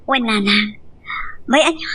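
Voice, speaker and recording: A woman's voice: on the high side, a little slow, a bit bright, breathy edge. A woman acting a fretful, emotional young wife and mother in an amateur drama, with an Ilocano Filipino accent. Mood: subdued, worried.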